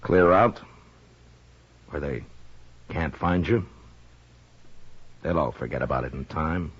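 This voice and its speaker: An actor playing a gruff, deliberate US marshal in a 1950s American western radio drama, halting and understated. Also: male voice, a bass voice, exaggerated voice. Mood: quietly prompting. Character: gruff, deliberate middle-aged male US marshal of the Old West. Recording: muffled vintage 1950s radio recording.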